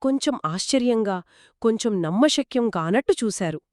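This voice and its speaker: Higher-voiced woman telling children's stories. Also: moderate pace, no echo, studio quality. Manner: expressive, engaging, acts out characters' quoted lines. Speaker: co-narrator of a children's story. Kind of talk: conversational two-person storytelling for children.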